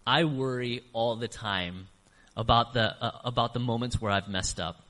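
A middle-aged man preaching a sermon as pastor, earnest, slowing down to stress key phrases. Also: mid-range voice, pushed and bright. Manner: earnest, varies emphasis, slows on key phrases. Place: church sanctuary. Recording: long reverb of a church hall.

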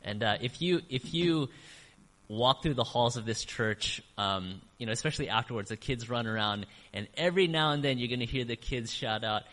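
Man, pastor preaching, earnest, slows for emphasis, mid pitch, long church reverb. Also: lively intonation, a bit bright. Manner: earnest, varies emphasis, slows on key phrases. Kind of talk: sermon. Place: church sanctuary.

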